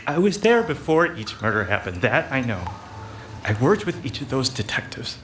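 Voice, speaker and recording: An actor playing a detective's sidekick who turns cold and menacing, in a radio play. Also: male voice, mid-range voice, big pitch swings, moderate pace, a bit bright, a little hiss or hum. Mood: defensive. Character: Dawson, male detective's sidekick turned sinister.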